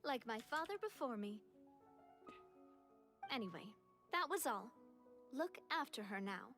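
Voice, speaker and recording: Confident young leader in a game; high, bright voice, brisk and reassuring. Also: female voice, expressive, soft background music. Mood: proud. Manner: assured, direct, gently chiding. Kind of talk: video game voice acting.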